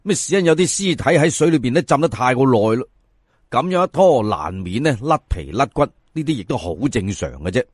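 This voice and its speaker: A man's voice: mid-range voice, lively intonation, no room sound, studio quality. A man with a Hong Kong Cantonese accent telling a folk tale in a colloquial, dramatic way, as a storyteller does. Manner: colloquial, dramatic, drawn-out sentence particles. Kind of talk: animated folk tale narration.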